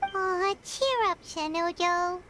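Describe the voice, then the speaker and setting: Squeaky tiny fairy sidekick. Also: female voice, slow, slight background noise, exaggerated voice. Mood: friendly. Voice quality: squeaky, childlike. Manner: perky, blunt, casual. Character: tiny chirpy childlike fairy companion, cheeky, talkative. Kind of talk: cartoonish English game voice acting. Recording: loud game music behind voice.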